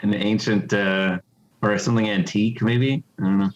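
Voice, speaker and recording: A man, a game developer guest, chatting casually but haltingly and coyly, choosing his words with care. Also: lowish voice, steady tone, lo-fi sound. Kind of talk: casual interview chat.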